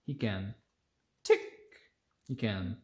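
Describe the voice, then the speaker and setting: A woman reading a children's picture book aloud, playful and expressive, acting out the sound words. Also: very deep voice, takes it slowly. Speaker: storytime reader. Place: indoors, seated close among children. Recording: roomy, echoey home recording.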